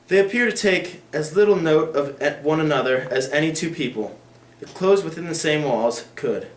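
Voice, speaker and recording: A teenage boy reads a classic novel aloud in an earnest, dramatic way. His voice sits fairly high. The classroom echo hangs around every word. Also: distant mic. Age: late teens. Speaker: student reader. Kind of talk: dramatic literary reading. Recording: echoey room.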